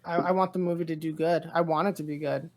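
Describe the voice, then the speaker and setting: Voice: medium pitch, little pitch movement, fast. A podcast co-host chatting casually about pop culture, rambling and often repeating phrases.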